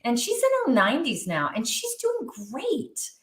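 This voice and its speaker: A middle-aged woman, a guest expert on movement and wellbeing, speaking in an animated, emphatic conversational way with frequent restarts. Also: sits high, moderate pace, roomy sound. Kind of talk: conversational interview.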